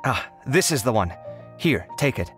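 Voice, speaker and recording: A man's voice: mid-range voice, sing-song, normal speed, a bit bright, soft background music. An actor playing an exasperated, dramatic, proud young man in a video game, speaking in an eloquent, indignant way. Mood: calmer, matter-of-fact.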